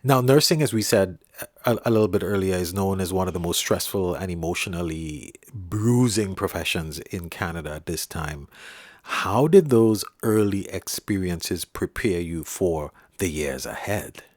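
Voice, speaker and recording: Deep-voiced man hosting an interview. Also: darkish tone, no room sound, clean studio sound. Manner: measured, with frequent affirming murmurs. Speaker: podcast host.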